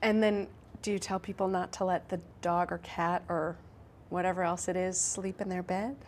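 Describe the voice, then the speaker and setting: A woman's voice: lowish voice, little pitch movement, slight background noise. A woman doctor hosting a health interview show, asking follow-up questions and summing up her guest's answers. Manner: inquisitive, recaps answers back, brisk follow-up questions. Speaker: talk show host, doctor.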